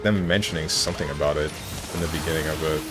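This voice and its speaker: A man streaming a video game, chatting casually with his viewers and joking around, with bursts of mock excitement. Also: a bass voice, fast talker. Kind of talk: casual live-stream chat while gaming. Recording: game music playing underneath.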